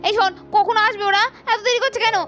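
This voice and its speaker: A woman's voice: very high-pitched, steady tone, fast talker. A woman voicing a chatty, playful young doll-family woman in a cartoon, exclamatory, over music. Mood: teasing. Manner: gushing, teasing, exclamatory. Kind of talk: doll cartoon story voice acting. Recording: faint music behind the voice.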